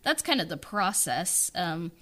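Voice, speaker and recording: Very bright, slower-talking woman. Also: lowish voice, sing-song. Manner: informal, hesitant, peppered with "like". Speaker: podcaster and audio drama writer-director. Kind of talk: casual interview chat.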